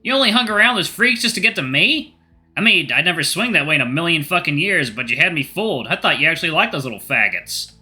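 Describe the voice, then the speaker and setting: A man's voice: on the high side, very animated, fast talker, pushed and bright, faint music behind, voice pushed up, exaggerated voice. A man voicing a cruel, mocking male wolf prison guard with a crude, sneering delivery.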